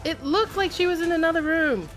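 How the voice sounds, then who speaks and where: A woman's voice: extremely high pitch, fairly quick, a bit bright, poor audio. A woman giving casual live commentary as she streams a game, in a wry, playful, rambling way.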